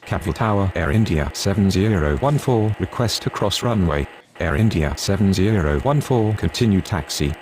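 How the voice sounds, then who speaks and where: Casting: male actor playing a businesslike aviation radio man for a game, low register, clipped phrasing, slight background noise. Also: lively intonation, normal speed, darkish tone. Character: male pilot and controller on airport radio, businesslike. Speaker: air traffic radio voice in a mobile game.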